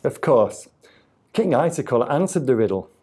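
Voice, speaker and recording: A man reading a children's tale aloud as a storyteller, with deliberate, expressive delivery. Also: mid-range voice, breathy edge. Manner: deliberate, expressive reading with acted sound effects.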